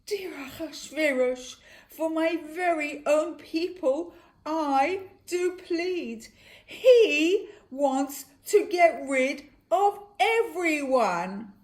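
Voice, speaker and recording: A woman's voice: high-pitched, expressive, drawn-out words, heavily acted. A woman voicing an earnest, pleading queen in a children's puppet show, recorded with a roomy echo. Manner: earnest, dramatic.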